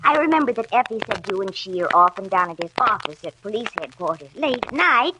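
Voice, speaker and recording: A woman's voice: mid-range voice, very animated, brisk, natural voice. An actress playing a sweet, chatty elderly mother with a fluttery, genteel manner in 1940s radio acting. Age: older. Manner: flustered, twittery, genteel. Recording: noisy vintage 1940s radio broadcast.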